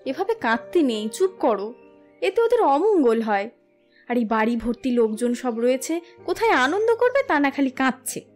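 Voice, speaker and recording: An actress playing a brisk, caring female relative in an audio story, chiding and insistent. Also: high voice, big pitch swings, darkish tone, faint music behind. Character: brisk, scolding but caring female in-law.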